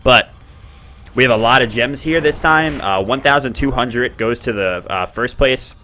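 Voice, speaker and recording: A man hosting and commenting on a game tournament in a chatty, excitable way. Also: moderate pace, soft background music. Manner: chatty, excitable, bursts up in pitch at plays. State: expects to lose his voice.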